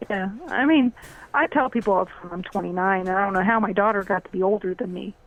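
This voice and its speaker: A woman's voice: medium pitch, fast talker. A woman in her fifties, a podcast guest chatting casually and recalling her life in a plainspoken, teasing way. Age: 50s. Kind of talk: casual chat, personal recollection. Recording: thin, phone-like call audio.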